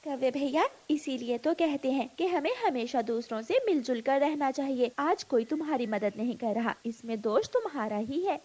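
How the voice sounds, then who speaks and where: A woman voicing a kind, dutiful little female bird in a cartoon, earnest and gently moralizing. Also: high voice, animated, moderate pace, few pauses. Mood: earnest, gentle.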